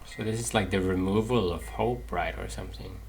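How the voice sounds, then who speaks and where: A man's voice: low-pitched, fairly quick, a little hiss or hum. A man doing casual let's play commentary, musing tentatively and thinking aloud as a gaming streamer. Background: game music.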